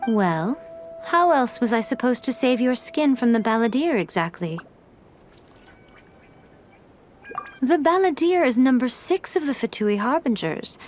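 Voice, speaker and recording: Game priestess: elegant and self-assured, sly polish, slightly high register, clear music bed. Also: female voice, very animated, normal speed. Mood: matter-of-fact. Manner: poised, playful, refined. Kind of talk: video game cutscene acting and narration. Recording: music behind the voice.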